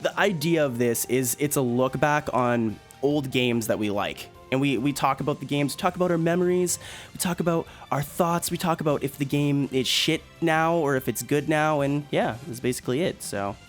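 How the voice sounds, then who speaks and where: A man's voice: a little high, moderate pace, faint music behind. Rambling young man hosting a chat. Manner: rambling, filler-heavy, laid-back. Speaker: podcast host. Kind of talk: casual chat.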